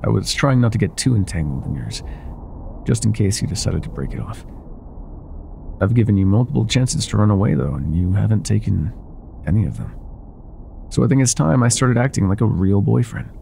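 A man playing an older ex-mafia boyfriend, wry, tender and self-doubting, with a husky, breathy voice in an intimate roleplay audio. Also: lowish voice, lively intonation, brisk, pauses often, warm tone, slight background noise. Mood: wry, affectionate.

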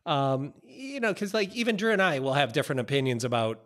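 A middle-aged man talking casually and haltingly on a podcast, indoors in a small office. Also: on the high side, big pitch swings, fairly bright tone. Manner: halting, conversational.

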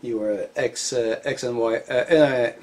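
A middle-aged man casually explaining shop work as a hobby machinist, in a halting, hands-on way. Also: medium pitch, darkish tone. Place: cluttered garage machine shop. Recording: noisy workshop ambience.

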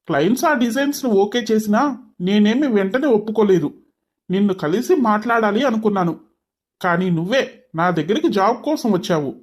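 An arrogant young male boss as a man acts him out in a told story. He is short-tempered and mocking, bickers curtly, and slips English phrases into his speech. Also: high-pitched. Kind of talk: dramatized story dialogue.

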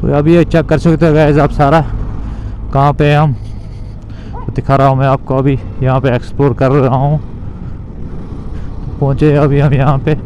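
A man's voice: mid-range voice, little pitch movement, normal speed, long pauses, darkish tone, highs cut off. A young man vlogging casually while riding a motorbike through city traffic, talking loosely and repetitively to his viewers. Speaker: moto vlogger.

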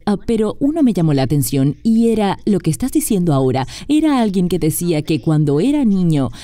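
A woman's voice: fairly low pitch, big pitch swings, fairly quick, dark tone, dry recording. A woman speaking as an interview guest, earnestly and emphatically explaining her points in a dubbed conversation.

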